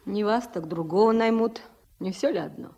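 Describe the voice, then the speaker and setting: An actress playing a guarded, dismissive village mother in a radio play, in terse lines with a husky voice. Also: medium pitch, normal speed, warm tone. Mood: cool, dismissive. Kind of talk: Soviet radio play acting.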